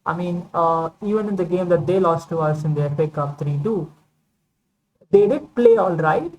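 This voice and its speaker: A woman with a lowish voice talks through football results in a casual vlog. She rambles, hedges and corrects herself, with plenty of fillers along the way. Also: normal speed, darkish tone, rough recording. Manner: rambling, hedging, many fillers and self-corrections. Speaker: football vlogger. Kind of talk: casual football opinion monologue.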